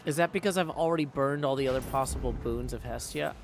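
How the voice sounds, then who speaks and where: A man's voice: medium pitch, fast, gravelly, faint music behind. A man doing casual live game commentary, thinking aloud and reading game text out as he plays. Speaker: game streamer practicing voice acting.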